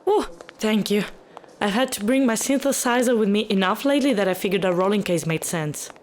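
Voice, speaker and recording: A woman playing a composed, measured female researcher with a concerned manner in an audio drama. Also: medium pitch, slight background noise, lightly acted. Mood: concerned, earnest.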